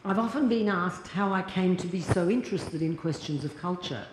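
An older woman gives a formal lecture at a podium, her measured, deliberate reading picked up by a lapel mic. The large hall adds a clear echo. A steady noise runs under the recording. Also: on the low side. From Australia. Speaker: keynote lecturer. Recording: echoey hall, audible noise.

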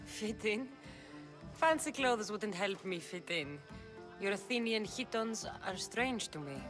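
A woman's voice: mid-range voice, expressive, takes it slowly. An actress playing a tough female mercenary of ancient Greece in a game, speaking directly and guardedly. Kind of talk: video game cutscene acting. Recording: music under the voice.

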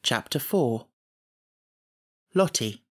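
A man's voice: mid-range voice, lively intonation, very slow. A man reading a children's novel aloud as scripted audiobook narration.

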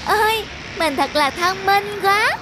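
A woman's voice: very high-pitched, lively intonation, slow pace, background noise, full character voice. A woman voicing a smug, boastful little weed in exaggerated cartoon folk-tale style. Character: smug, self-satisfied little weed hiding from trouble.

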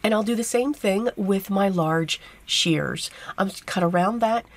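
A woman with a tired, hoarse voice narrating a card-making tutorial step by step, chatty and casual. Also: mid-range voice, unhurried. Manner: chatty step-by-step explaining with fillers. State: losing her voice, hoarse. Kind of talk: voice-over craft tutorial.

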